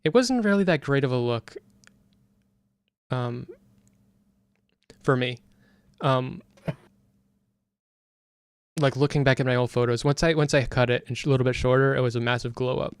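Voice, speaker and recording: A man's voice: medium pitch, normal speed, pauses often, clean tone, no echo, clean studio sound. A man in a casual discussion, speaking bluntly and stating firm opinions. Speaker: discussion guest. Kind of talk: casual debate chat.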